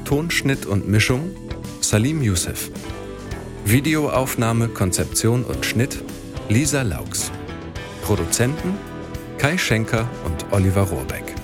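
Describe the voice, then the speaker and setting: A man's voice: medium pitch, big pitch swings, slow, long pauses, music in the background. A man reading a scripted announcement and production credits as an announcer.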